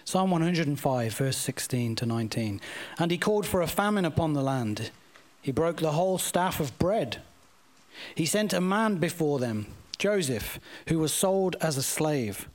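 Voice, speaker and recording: A man reads scripture aloud for a congregation. His voice is pitched somewhat high for a male, and the delivery is that of a formal Bible reading. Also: lively intonation, normal speed. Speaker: scripture reader.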